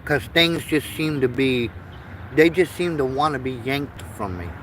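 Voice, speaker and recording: A man in his fifties, born in Vietnam and raised in the United States, talks slowly and earnestly to his livestream viewers, pausing and repeating himself as he goes. His pitch sits in the middle range for a man, and faint outdoor noise hums behind him. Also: a bit bright. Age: 50s. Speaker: livestream host. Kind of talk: rambling casual livestream monologue.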